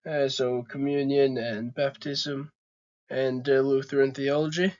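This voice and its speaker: A man's voice: medium pitch, level tone, measured pace, a little mellow, lo-fi sound. A young man with a Norwegian accent, hosting his own channel and talking earnestly with frequent hesitations. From Norway. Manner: hesitant, many "uh" fillers, earnest. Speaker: channel host. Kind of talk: casual talking-to-camera vlog.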